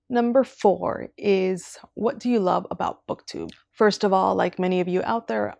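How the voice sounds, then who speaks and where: A young woman from Southern California talks to her camera in an easy, rambling way, wandering into side tangents. Her voice sits low and carries a gravelly fry, and the sound is dry and close with no room echo. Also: darkish tone. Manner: rambling, chatty, goes on tangents. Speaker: booktuber. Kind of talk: casual vlog talk.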